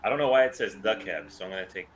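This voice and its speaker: Slightly low-voiced man, faint background noise. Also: expressive, racing. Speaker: table read organizer and reader. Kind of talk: casual group chat.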